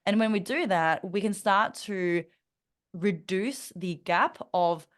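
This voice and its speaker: A woman's voice: medium pitch, takes it slowly. A young woman with an Australian accent, speaking earnestly as a podcast guest, thoughtful, with many fillers and restarts. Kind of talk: conversational interview.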